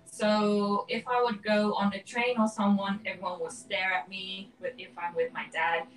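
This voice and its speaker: A woman's voice: mid-range voice, level tone, moderate pace. A woman in her twenties from Japan, Japanese as her first language, chatting easily as a guest and laughing often between phrases. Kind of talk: casual interview chat. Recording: voice a bit far from the mic.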